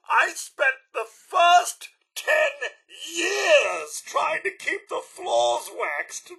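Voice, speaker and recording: A man's voice: very high-pitched, bright, forceful tone, full character voice. A man voicing a fussy, devoted robot butler in a video game, speaking with a British accent in a prim, formal, theatrical way. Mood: distraught, near hysterical.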